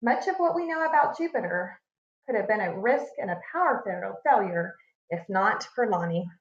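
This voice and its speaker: Woman, children's read-aloud, expressive storytelling, deliberate emphasis, echoey room, slightly muffled recording. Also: mid-range voice. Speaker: read-aloud narrator, teacher. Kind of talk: reading a children's picture book aloud.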